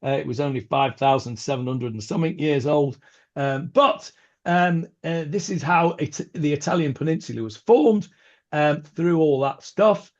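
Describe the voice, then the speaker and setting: A man's voice: a little high, normal speed. A man leading an informal online Talmud class over a video call, hesitant, with many ums and restarts and chatty asides. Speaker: rabbi teaching a Talmud class. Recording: dull lo-fi video call audio.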